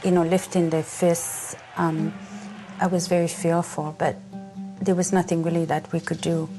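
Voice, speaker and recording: A woman recounts a memory in a subdued, very flat low voice. Music plays clearly under her testimony. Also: normal speed. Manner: subdued, even recollection. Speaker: interviewee. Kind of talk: documentary interview testimony.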